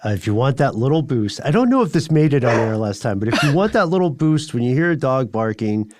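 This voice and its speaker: A man's voice: mid-range voice, sing-song, fast, background noise. A man co-hosting a podcast in a conversational style, with articulate, slightly wordy phrasing. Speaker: podcast co-host.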